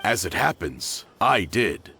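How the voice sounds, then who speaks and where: Helpful game townsman, kinda low voice, conversational, bit of noise behind. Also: sing-song, slow pace, gravelly, bright, forceful tone, heavily acted. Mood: matter-of-fact. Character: helpful, matter-of-fact townsman in anime fantasy game. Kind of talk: English anime game voice acting.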